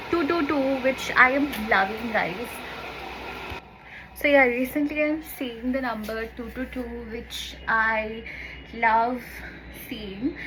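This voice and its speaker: A woman's voice: on the high side, noisy background. A young woman from Bengal with a Bengali accent, chatting casually to camera in a lifestyle vlog while showing journal pages. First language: Bangla. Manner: chatty, repeats "see" and "so yeah", shows pages as she talks. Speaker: lifestyle vlogger.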